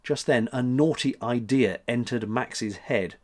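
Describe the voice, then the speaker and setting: A man reading a story aloud as a storyteller, in expressive scripted narration. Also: medium pitch, measured pace.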